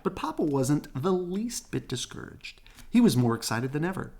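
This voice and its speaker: A storyteller reading a children's picture book aloud in an expressive, deliberate way with a playful touch. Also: mid-range voice. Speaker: storybook reader.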